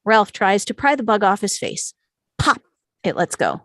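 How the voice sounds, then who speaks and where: A woman reading scripted stage directions as narrator at an animated film table read. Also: medium pitch, moderate pace, dry recording, studio quality.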